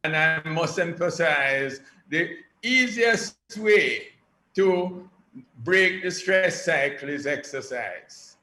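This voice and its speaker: A man's voice: on the high side, lively intonation, slow pace, bright, forceful tone. A man with a Jamaican accent giving public health advice as an expert speaker, recorded in an echoey room. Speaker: doctor, guest expert. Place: press event in a room. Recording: echoey room with faint noise.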